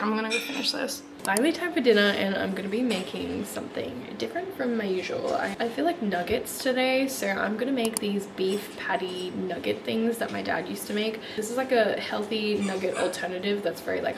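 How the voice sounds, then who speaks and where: A young woman talking casually through her cooking with a slightly hoarse, croaky voice, full of "like" fillers. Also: medium pitch, noisy background. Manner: chatty, lots of "like" fillers. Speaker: vlogger.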